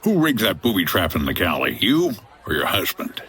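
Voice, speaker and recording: An actor playing a gruff, no-nonsense hotel owner turned sleuth in a comedy noir audio drama, terse and exasperated. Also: male voice, low-pitched, wildly swooping pitch, normal speed, sharp tone, full character voice. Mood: hard, questioning.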